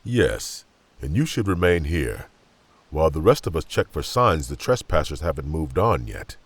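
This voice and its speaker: A man's voice: rumbling low, sing-song, normal speed, husky, no echo, heavily acted. A man playing a stern, terse knight giving orders in a fantasy audio drama. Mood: firm. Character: stern, no-nonsense male knight scout.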